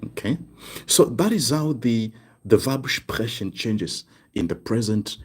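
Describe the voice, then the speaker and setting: A man teaching German online, slowly repeating each phrase word by word and checking in with "right?". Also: on the low side, animated, breathy edge. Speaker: German tutor. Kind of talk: online language lesson, writing on cards. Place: desk indoors.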